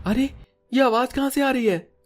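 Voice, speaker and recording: A man's voice: very high voice, moderate pace, faint music behind, voice pushed up. A man voicing an astonished, curious young village visitor in a Hindi cartoon story, exclaiming and questioning in wonder. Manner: exclamatory, wide-eyed questioning.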